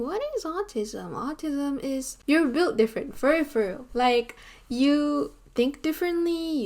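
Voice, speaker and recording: Chatty Malaysian woman in her twenties. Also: on the high side. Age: 20s. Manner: chatty and animated, lots of "like" and "you know". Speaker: vlogger. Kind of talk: casual talking-head vlog. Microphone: camera mic, seated on a chair.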